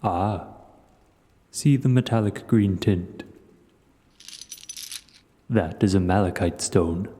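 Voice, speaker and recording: A man plays a vast, age-old male dragon for an audio roleplay. The voice is very deep and dark, rolling through long cavern reverb. He speaks slowly and deliberately, a philosophical host who keeps a lofty distance. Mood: calm, philosophical. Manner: slow, deliberate, musing. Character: ancient male dragon, huge, aloof yet hospitable, philosophical.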